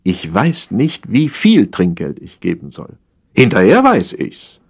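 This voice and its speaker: Male audiobook narrator, raspy voice, expressive melody, wry, theatrical, scripted comic prose. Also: lowish voice, measured pace. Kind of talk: scripted narration of humorous satire.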